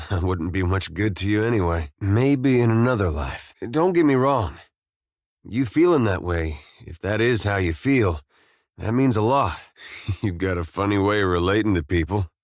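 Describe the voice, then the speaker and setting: A man voicing a gentle, world-weary farmer turned adventurer in a video game, plain-spoken and loyal, with a folksy, understated, dryly humorous manner. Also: on the low side, expressive, moderate pace, dry recording, clean studio sound. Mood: awkward, fond. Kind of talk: video game companion dialogue.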